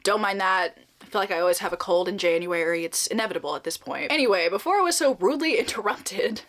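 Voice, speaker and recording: A woman's voice: medium pitch, expressive, fast talker, a bit bright. A young woman chatting casually through her reading vlog, upbeat and laughing often, sounding a bit nasal from a slight cold. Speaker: reading vlogger.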